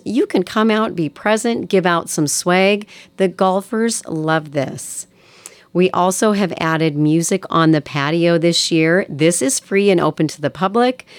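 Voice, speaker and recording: A woman's voice: on the low side, no room sound. An American woman hosting a community radio program, reading announcements in an upbeat, welcoming manner. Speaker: radio host.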